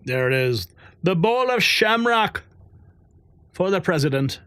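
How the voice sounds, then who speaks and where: A man's voice: high voice, big pitch swings, a little slow, a bit bright, clear, resonant tone, no echo. A man hosting a live news show, chatting casually, reading viewer comments aloud and laughing often.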